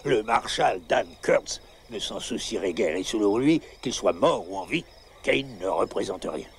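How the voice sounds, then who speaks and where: Un habitant complaisant qui lit la gazette : voix grave, débit simple, léger bruit de fond. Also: male voice, on the low side, lively intonation, a little slow, a little hiss or hum. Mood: reading. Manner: plain, compliant reading aloud. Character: obliging townsman reading the newspaper aloud. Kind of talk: French film dub.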